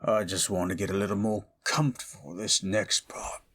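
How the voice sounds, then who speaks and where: A man playing a tender, devoted, hulking goliath bodyguard in an intimate romantic roleplay, murmuring slowly and breathily. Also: deep voice, steady tone, fairly bright tone, slightly rough. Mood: smitten, intimate.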